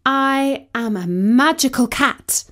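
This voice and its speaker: A woman's voice: sits high, takes it slowly, a bit bright. A woman voicing a proud, vain magical cat narrating a children's story, with a haughty, dramatic manner. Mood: haughty, self-satisfied.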